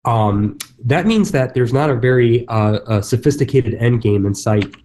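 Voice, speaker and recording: Mellow-voiced man talking casually on stage. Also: mid-range voice, moderate pace. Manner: casual, loose, many fillers and restarts. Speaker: real estate agent speaker. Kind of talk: conference stage talk.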